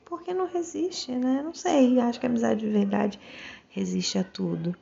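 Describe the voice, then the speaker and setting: A woman's voice: fairly high pitch, moderate pace, gravelly, dark tone, breathy edge, muffled recording. A woman with a Brazilian Portuguese accent giving a casual, reflective monologue, musing and often checking for agreement with little tag questions.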